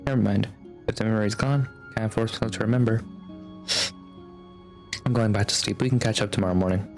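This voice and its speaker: An actor playing a calm, thoughtful young male adventurer in a video game, musing and understated. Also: fairly low pitch, fairly quick, soft background music, close to an everyday voice. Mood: drowsy musing.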